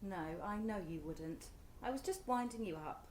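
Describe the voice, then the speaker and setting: An English actress playing an excitable, fretful, teasing middle-aged mother in a radio play. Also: mid-range voice, moderate pace, echoey room, slight background noise, natural voice. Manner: animated, fussing, chiding.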